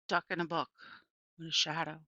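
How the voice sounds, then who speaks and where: A woman's voice: sits low, level tone, a little fast, pushed and bright, no room sound, lo-fi sound. A woman voicing an elderly small-town librarian in a children's storybook, a folksy, emphatic storyteller who repeats herself and turns dramatic and wistful. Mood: dramatic reminiscing. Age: older. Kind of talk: children's storybook read aloud.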